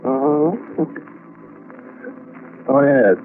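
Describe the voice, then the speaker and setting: A man's voice: mid-range voice, expressive, extremely slow, narrow, tinny audio, faint music behind. A man hosting a 1940s radio mystery show in a teasing, mock-sinister, chuckling manner. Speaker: horror show host. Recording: thin, noisy vintage radio recording.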